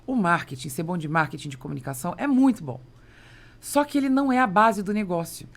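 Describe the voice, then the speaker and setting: A woman's voice: fairly low pitch, expressive, fairly quick. A Brazilian woman hosting a business podcast, explaining things conversationally and with emphasis. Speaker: podcast host.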